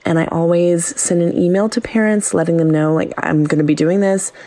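A woman with a low-pitched voice speaks as a teacher leaving a voicemail. She explains things casually, in the first person. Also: fairly quick, dark tone.

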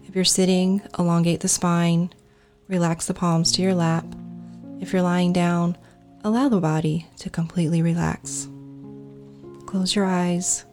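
A woman guides a meditation with a notably low-pitched voice. She speaks calmly and deliberately, in soothing instructions. Faint music plays behind her.

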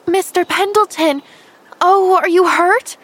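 Eager little storybook girl, high and bright and a bit breathless, woman reader doing her. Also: lively intonation, measured pace. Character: cheerful earnest little girl.

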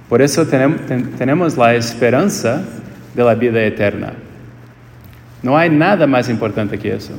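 A man with a Portuguese accent preaching a homily in a church, in slow, searching phrases that often restart. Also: mid-range voice, gravelly, a little mellow, a little hiss or hum. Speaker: priest. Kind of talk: homily at a quinceañera Mass. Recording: echoey church with long reverb.

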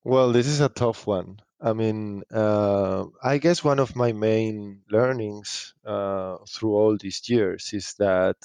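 A man answering interview questions as a startup founder, thinking aloud haltingly and repeating phrases. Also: fairly low pitch, darkish tone, no echo. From Spain.

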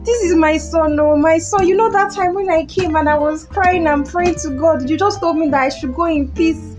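Casting: actress as a grateful, once-mocked Nigerian mother, high voice, fervent and declamatory, for a podcast play with music underneath. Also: normal speed. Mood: grateful, joyful. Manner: emphatic, declamatory, repeats phrases. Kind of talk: podcast audio drama acting.

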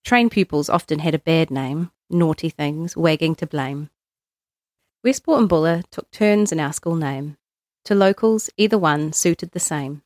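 A woman with a New Zealand accent reading poetry aloud in measured, short phrases. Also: sits low, expressive, a little mellow, no echo, clean studio sound. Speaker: guest poetry reader.

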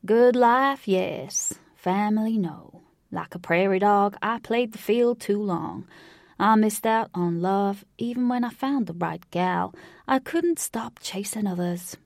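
Woman voicing a slow, drawling old Southern cowboy. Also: mid-range voice, creaky, no room sound, clean studio sound. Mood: reflective, folksy. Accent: Southern American. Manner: folksy, avuncular, jovial. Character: large elderly Southern American cowboy-like man, folksy encourager.

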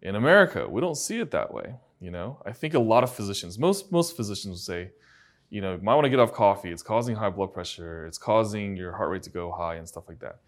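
A man from China, a physician, interviewing a guest in a halting, curious way with many restarts. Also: lowish voice, sing-song. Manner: halting, repeats words, curious. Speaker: physician host. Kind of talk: casual interview.

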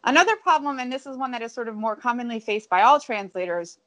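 A woman translator answering interview questions in a measured, academic way, with frequent ums and restarts. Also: a little high, expressive, pushed and bright. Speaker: translator of ancient Greek.

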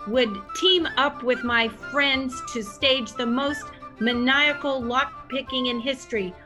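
A woman playing an excitable, self-important superfan who narrates a comedy audio drama in a grandiose, theatrical way. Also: high voice.

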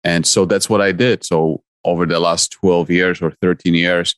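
A very deep-voiced man answers interview questions. He speaks earnestly, often stacking his ideas in short clipped phrases. The recording is close and dead, with no room sound. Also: level tone, normal speed, clean tone, dry recording. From Poland. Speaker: guest investor.

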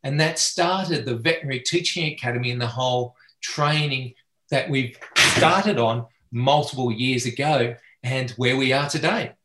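A man's voice: medium pitch, normal speed, sharp tone. A middle-aged man with an Australian accent, a veterinarian answering interview questions earnestly and passionately, sometimes restarting his sentences. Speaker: veterinarian guest. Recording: echoey room.

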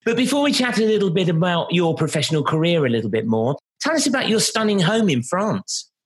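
A man's voice: high voice, sing-song, brisk, slightly rough. A man interviewing a longtime acquaintance, asking friendly, familiar questions. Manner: warm, familiar with guest.

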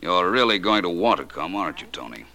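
An actor playing a shrewd, unflappable detective with polished, wry phrasing in 1940s radio drama style. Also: male voice, deep voice, very animated, fast talker, sharp tone, full character voice. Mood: smooth menace. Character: suave, shrewd, unflappable male private detective.

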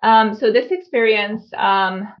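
A woman from Hungary with a creaky voice, answering conversationally as an interview guest. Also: mid-range voice, a little slow, lo-fi sound. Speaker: guest, company founder.

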